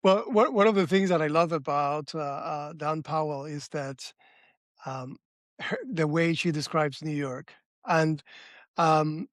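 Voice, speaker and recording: A male interviewer with a slightly high voice chats casually. He feels his way through sentences haltingly, searching for words. The recording is dry, with little room sound. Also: dry recording. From Cuba. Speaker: interviewer, host.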